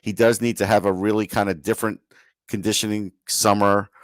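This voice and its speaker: A man's voice: lowish voice, little pitch movement, brisk, dry recording, clean studio sound. A man co-hosting a sports podcast, talking through analysis in a conversational way.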